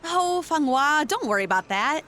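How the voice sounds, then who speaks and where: A woman playing an excitable, casual sidekick in an audio drama, expressive and slangy. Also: sits high, normal speed. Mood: excited, friendly. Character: Cat, excitable, casual, easily amazed female sidekick. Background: music.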